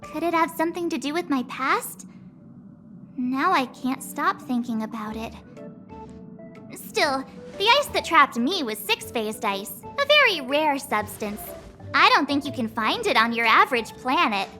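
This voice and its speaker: A woman's voice: high-pitched, very animated, unhurried, sharp tone, soft background music, full character voice. A woman voicing a perky, cocky teenage girl adventurer in an anime-style game, chirpy, breezy and teasing. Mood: wistful, musing.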